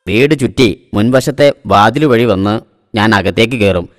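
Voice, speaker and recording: A man's voice: lowish voice, moderate pace, dry recording, studio quality, long reverb tail, close to an everyday voice. A man voicing a boastful, easily exasperated self-styled computer expert in a comic cartoon. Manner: pompous, boastful.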